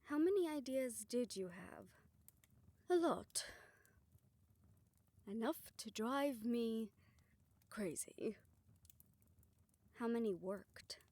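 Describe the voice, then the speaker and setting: A woman performing a scripted audio roleplay, voicing several characters in a soft, hushed way that often drops to a whisper. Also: a little high, expressive, very slow, long pauses, no room sound.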